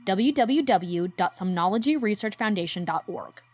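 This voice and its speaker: A woman's voice: medium pitch, animated, normal speed, soft background music, close to an everyday voice. A woman playing an eager, insistent research partner in an audio drama, blunt and impatient.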